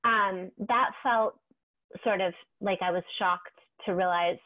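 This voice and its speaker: A woman's voice: medium pitch, slow. A woman in her forties from California, a poet talking animatedly from a room with bookshelves over a video call. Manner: animated, gestures with hands, reflective. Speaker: poet, guest. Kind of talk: casual conversation. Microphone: clip-on mic over video call. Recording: thin phone-like video call audio.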